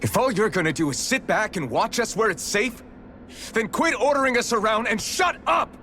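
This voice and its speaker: An actor playing a determined, protective young hero in a dramatic video game cutscene, speaking bluntly and resolutely. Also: male voice, high-pitched, animated, moderate pace, sharp tone, a little hiss or hum. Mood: angry. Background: faint game music.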